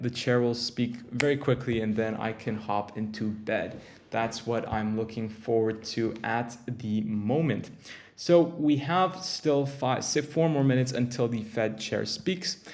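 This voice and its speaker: A man's voice: medium pitch, a little mellow. Tired young man chatting on a livestream. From Turkey. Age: young adult. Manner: rambling, self-interrupting, chatty with viewers. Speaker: crypto livestream host. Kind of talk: casual livestream chat.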